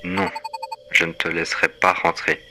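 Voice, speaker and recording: A man's voice: low-pitched, completely flat delivery, moderate pace. A man voicing doomed storybook pigs and a wolf spirit in a horror fairy tale, grave and dramatic. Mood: wary. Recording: loud music over the voice.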